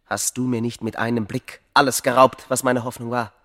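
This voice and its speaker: A man's voice: mid-range voice, little pitch movement, brisk, sharp tone, natural voice. A male actor playing a bitter, vengeful keeper of a secret in a radio play, with emphatic, declamatory delivery. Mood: bitter, accusing. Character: bitter, vengeful man guarding a deathless secret. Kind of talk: theatrical radio play dialogue.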